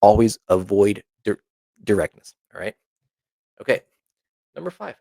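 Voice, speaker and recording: A man with a lowish voice and plenty of vocal fry presents a language lesson in an informal, explanatory way. He repeats example phrases and checks in often as he goes. The sound is very dry, with hardly any room in it. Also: expressive, unhurried, warm tone, no room sound. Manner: conversational, checks in often with right and okay.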